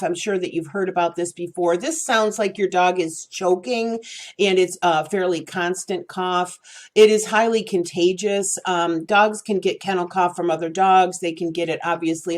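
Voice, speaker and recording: A woman hosting a pet care channel, giving casual advice in a rambling, chatty way with many fillers. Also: on the low side.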